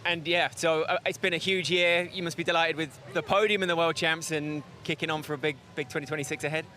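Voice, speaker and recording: A man's voice: on the high side, fairly even intonation, fast talker, sharp tone. A man doing casual post-race interviews as a sports reporter outdoors.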